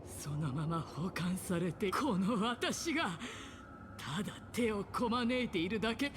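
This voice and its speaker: A woman's voice: mid-range voice, lively intonation, a little fast, background noise, close to an everyday voice. A woman playing a proud, defiant female character in a fantasy video game. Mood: scornful. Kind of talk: Japanese video game voice acting.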